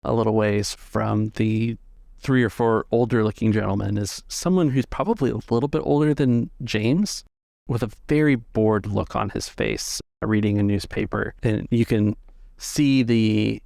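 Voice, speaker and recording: A man's voice: on the low side, steady tone, unhurried, creaky, dark tone, no echo, clean studio sound. A man improvising scene narration as game master of a tabletop roleplaying game.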